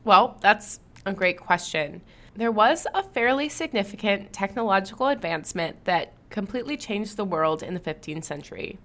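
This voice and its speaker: A woman narrates a history podcast at a middle pitch. She reads from a script in a clear, even storytelling way. Now and then she turns playful with her co-host. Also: moderate pace.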